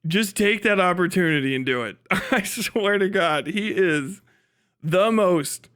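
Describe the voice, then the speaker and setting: A man with a high, clear voice, streaming casually to his chat. His delivery is bright and forceful, with a mocking, animated manner and plenty of swearing. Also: measured pace. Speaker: live stream host. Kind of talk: casual livestream chat to viewers.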